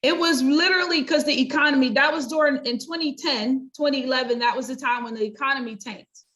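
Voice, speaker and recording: A middle-aged American woman speaks in a fairly high voice. She explains things conversationally as an interview guest, with an emphatic, chatty delivery. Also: quick pace, a bit bright. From United States. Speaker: guest: pastor, counselor and coach.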